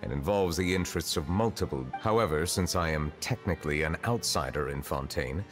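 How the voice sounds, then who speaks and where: An actor voicing a solemn, formal dragon judge in human form for a video game, speaking in a measured, reserved way. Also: male voice, very deep voice, a bit bright. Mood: solemn, explaining. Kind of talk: English video game voice acting. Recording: game music behind the voice.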